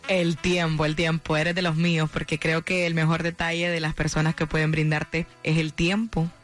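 A woman's voice: deep voice, level tone, moderate pace. Gushing woman radio host. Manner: gushing, flirtatious, effusive. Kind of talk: enthusiastic radio interview. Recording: faint music underneath.

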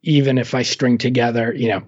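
Man, middle pitch, relaxed, informative, filler words, slightly muffled audio. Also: a little fast, breathy edge. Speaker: travel guest expert. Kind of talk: casual travel advice conversation.

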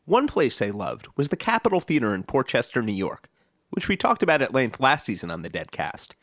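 A man's voice: mid-range voice, brisk, no room sound, clean studio sound. A man hosting a podcast, reading scripted narration with a storytelling delivery that builds through lists. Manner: lively storytelling delivery with list-like build-ups.

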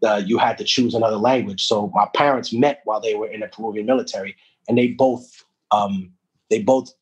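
Husky-voiced man from Peru, storytelling. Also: lowish voice, fairly quick, muffled recording. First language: Spanish. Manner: reflective storytelling, street slang, animated asides. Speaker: guest. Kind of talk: casual podcast conversation.

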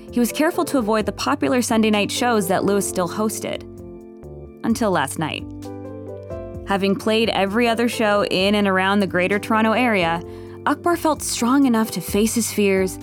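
Female narrator, scripted storytelling, mid-range pitch, prominent music behind. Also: normal speed.

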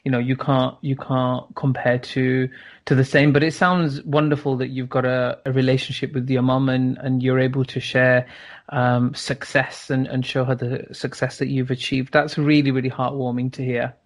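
Man, podcast host. Average pitch. Encouraging, reacts with praise. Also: little pitch movement, moderate pace. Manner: warmly affirming, reacts with praise. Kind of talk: conversational interview.

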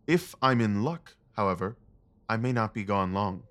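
A man acting a restless, commanding gentleman detective in an audio drama, speaking formally and with intense emphasis. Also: lowish voice, animated, normal speed. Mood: resolute, brisk.

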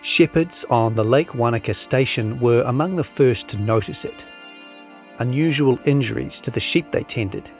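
A man's voice: mid-range voice, normal speed, soft background music. A man giving a scripted narration of a long-form article.

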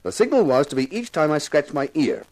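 An actor playing an intense television detective in a 1950s radio crime drama, narrating and questioning in a deliberate, insistent way. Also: male voice, fairly high pitch, sing-song, darkish tone. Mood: tense, confiding.

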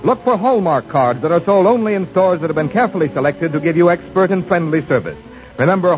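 An American man reading scripted announcements in 1940s radio style. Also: sits high. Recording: dull 1940s radio recording, faint music.

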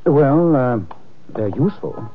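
Wry unflappable gentleman sleuth, swingy intonation, tinny vintage radio drama sound. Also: medium pitch, animated, takes it slowly, slight background noise. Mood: teasing. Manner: dry, teasing, polished interrogation. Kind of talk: old-time radio detective drama acting. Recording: tinny, band-limited old radio recording.

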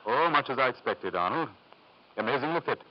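An actor playing a courteous, reserved doctor in a radio drama, measured and quietly reproachful. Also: male voice, medium pitch, animated, natural voice. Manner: measured, politely restrained. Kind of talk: 1950s radio drama acting. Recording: muffled 1950s radio recording.

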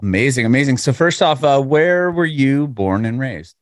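A man from California hosting a music podcast over a video call, talking in a relaxed, friendly way with plenty of fillers. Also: medium pitch, moderate pace, no room sound, studio quality. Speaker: podcast host. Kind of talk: casual interview chat.

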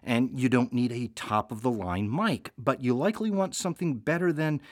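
A man co-hosting a casual podcast about audio production, talking in a wry, halting way and often correcting himself. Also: medium pitch, dry recording. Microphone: home recording setup.

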